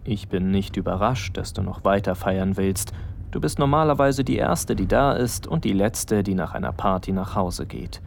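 A man's voice: deep voice, moderate pace, gravelly, a little hiss or hum. An actor playing a smitten young male best friend, narrating an intimate audio drama in the first person, musing and addressing the listener as you. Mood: fond, drawn.

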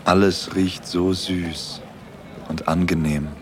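A man's voice: low-pitched, level tone, slow, background noise. An actor playing a dreamy, shy young man in an intimate first-person audio drama. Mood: dreamy, tender.

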